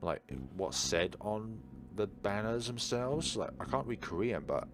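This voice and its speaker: A man's voice: fairly low pitch, animated, measured pace, noisy background. A man playing a visual novel, reading its narration and dialogue aloud and musing casually as he goes. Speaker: let's player. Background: game background music.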